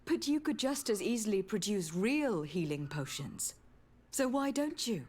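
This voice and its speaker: A woman's voice: mid-range voice, sing-song, a little slow, a little mellow. An actress playing a principled noblewoman envoy in a fantasy video game, questioning and reproaching with formal composure. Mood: reproachful, questioning.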